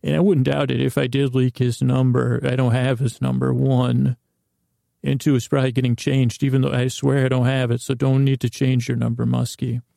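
Male podcast host, very creaky and gravelly, dry close sound; lulling, meandering, halting bedtime monologue. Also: medium pitch, flat delivery, dark tone, dry recording. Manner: meandering, halting, repeats and trails off into tangents; soothing, lulling. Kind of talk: rambling, improvised bedtime monologue.

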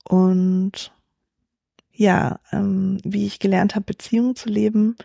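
Young woman founder, dark mellow voice, dry close sound, thinks out loud with lots of fillers. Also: medium pitch, little pitch movement, slow pace, vocal fry, husky, no echo. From Germany. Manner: thoughtful, many fillers and restarts. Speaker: startup founder, guest. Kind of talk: reflective interview answers.

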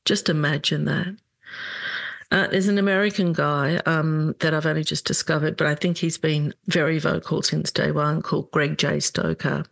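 Gravelly-voiced woman podcasting. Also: deep voice, steady tone, dry recording. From Australia. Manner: earnest, indignant, halting restarts. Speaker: podcast host. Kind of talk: solo commentary podcast.

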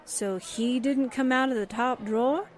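A woman playing a wisecracking young newspaperwoman in an old-time radio drama, dry and deadpan. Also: a little high, a little slow, some chatter behind, close to an everyday voice. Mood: sardonic. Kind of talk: 1940s-style radio drama acting.

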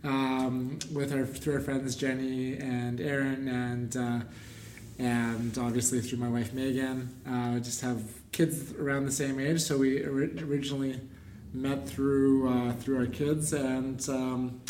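A male podcast host in an echoey room, a little away from the mic. He chats casually with halting phrasing and little rise or fall in pitch. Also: little pitch movement, darkish tone. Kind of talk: casual chat. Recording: roomy, distant mic, background noise.

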